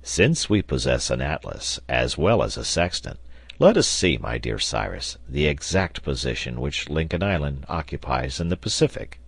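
A man's voice: extremely low pitch, big pitch swings, normal speed, creaky, breathy edge, dry recording, pitched below the performer's own voice, heavily acted. A man voicing a curious newspaper reporter in an audiobook, polite and formal as he inquires. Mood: eager, courteous. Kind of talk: audiobook character dialogue.

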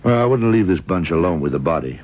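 An actor playing a tough, shrewd, sardonic American police detective in an old radio crime drama. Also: male voice, low-pitched, lively intonation, fast talker. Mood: commanding. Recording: muffled, noisy old radio drama recording.

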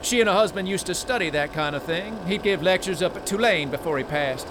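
A man's voice: sits high, brisk, background noise, natural voice. A man playing a folksy, nosy small-town sheriff with a Southern US drawl in a radio drama. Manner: folksy drawl, outwardly genial.

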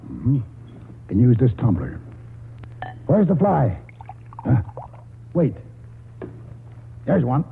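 A man's voice: medium pitch, wildly swooping pitch, takes it slowly, long pauses, a little hiss or hum, heavily acted. An older American man acting a stubborn, wily country grandfather in a radio drama, with folksy, rambling speech. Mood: stubborn, bargaining. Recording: muffled, husky-sounding vintage radio broadcast.

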